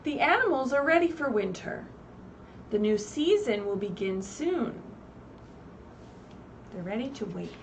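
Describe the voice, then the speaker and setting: A woman reading aloud expressively at a children's story time, pausing to put simple questions to her young listeners. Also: fairly high pitch, sing-song, vocal fry. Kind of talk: animated read-aloud with asides to young children. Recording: distant mic, faint background noise, livestream.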